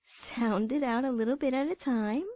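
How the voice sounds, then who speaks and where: A woman voicing a kindly, motherly mare, gentle and patient, in a cartoon-style comic dub. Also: a little high, normal speed, no room sound, close to an everyday voice. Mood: kind, encouraging.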